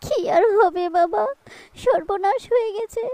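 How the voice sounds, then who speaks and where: Woman as a high-pitched distraught village mother. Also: unhurried, warm tone, no room sound. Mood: tearful, despairing. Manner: plaintive, earnest. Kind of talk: cartoon voice acting.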